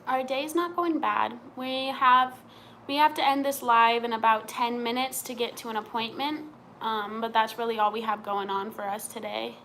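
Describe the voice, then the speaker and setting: A woman's voice: on the high side, steady tone, normal speed, bright, forceful tone, slight background noise. A young woman from Montana, chatting casually on a live stream as she reads out and answers viewer questions.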